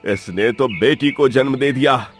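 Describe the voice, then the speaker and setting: A man's voice: medium pitch, measured pace, faint music behind, lightly acted. A man voicing an arrogant, cold husband and father in a cartoon story, stern and contemptuous. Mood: cold resentment. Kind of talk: Hindi cartoon story dialogue.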